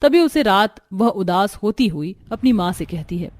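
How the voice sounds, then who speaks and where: A woman's voice: medium pitch, animated, moderate pace. A woman working as narrator, reading a scripted Hindi cartoon story.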